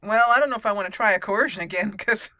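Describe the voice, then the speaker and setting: A woman's voice: medium pitch, fast talker, bright, forceful tone, no room sound. A woman hosting a gaming channel, giving casual commentary as she plays a roleplaying game.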